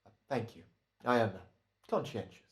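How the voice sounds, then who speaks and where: Man as courteous hired killer, smug, hesitant, mannered, very lively intonation, old-time radio drama. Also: fairly low pitch, brisk, lightly acted. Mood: quietly smug. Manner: hesitant, over-polite, mannered. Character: nervously polite, smug, careful hired killer.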